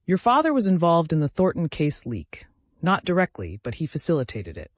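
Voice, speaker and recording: A vengeful woman read by a man in an audiobook drama, deliberate and menacing. She is bitter and tightly controlled, in a slightly raised male register with a very dry sound. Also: fairly high pitch, huge pitch swings, no room sound, pitched above the performer's own voice. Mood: cold menace. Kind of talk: dramatic audiobook character reading.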